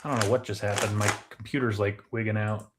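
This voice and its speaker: A man co-hosting a podcast, talking casually about films in an explanatory way, thinking aloud with pauses as he goes. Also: fairly low pitch, fairly even intonation, background noise. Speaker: podcast co-host. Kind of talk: casual film discussion.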